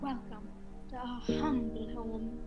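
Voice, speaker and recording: A woman's voice: a little high, fairly even intonation, slow, highs cut off, loud music behind the voice. A woman playing a grand, ominously hospitable countess in a student radio play, stately and theatrical. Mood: gracious, commanding.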